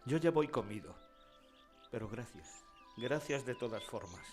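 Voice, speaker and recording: An actor playing a kindly old village schoolmaster in a radio drama, speaking formally and politely. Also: male voice, medium pitch, animated, measured pace, natural voice. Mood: polite, modest. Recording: clear music behind the voice.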